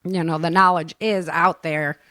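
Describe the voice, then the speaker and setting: A woman's voice: fairly low pitch, steady tone, normal speed, sharp tone, dry recording. Casual woman hosting, close dry sound. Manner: informal and slangy, conversational. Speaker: show host and producer. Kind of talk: casual live chat show talk.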